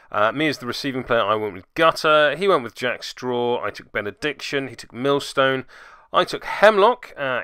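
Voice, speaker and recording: A man's voice: on the low side, bright, forceful tone, clear, resonant tone, dry recording, clean studio sound. A man hosting a web series, giving jokey, self-deprecating commentary on tabletop games with theatrical turns of phrase. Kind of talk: tabletop game video commentary.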